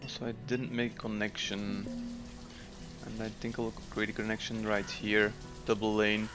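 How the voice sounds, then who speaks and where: A man's voice: lowish voice, completely flat delivery, over music. Man musing through gameplay. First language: Dutch. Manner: thinking aloud, unhurried, frequent fillers. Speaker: gameplay commentator. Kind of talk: casual let's-play commentary.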